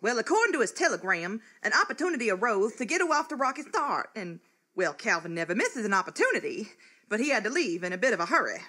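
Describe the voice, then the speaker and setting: A folksy country wife speaks with doting indignation in a comic radio play. Her voice is high and forceful, with lively swoops. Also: quick pace, slightly rough, full character voice. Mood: indignant, doting. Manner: folksy, emphatic. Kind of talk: comic live radio theatre.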